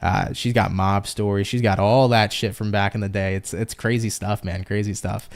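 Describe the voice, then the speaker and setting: A man's voice: fairly low pitch, level tone, normal speed. New york guy doing a tarot stream, chatty, swears a lot, talks right to chat. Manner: chatty, swears freely, talks straight to viewers. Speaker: tarot reader. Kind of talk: casual live-stream tarot reading.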